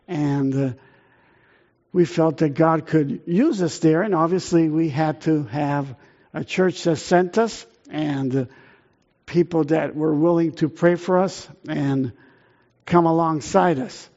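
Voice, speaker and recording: A middle-aged man raised in Italy with Italian as his first language, speaking earnestly to a church as a missionary, halting often on fillers. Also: fairly high pitch, a little mellow, highs cut off.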